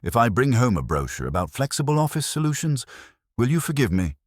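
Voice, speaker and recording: A man's voice: mid-range voice, sing-song, a little fast, no room sound, studio quality, pitched above the performer's own voice. A man voicing a wisecracking, streetwise detective's assistant in an audiobook, glib and sardonic. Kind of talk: acted dialogue in a detective audiobook.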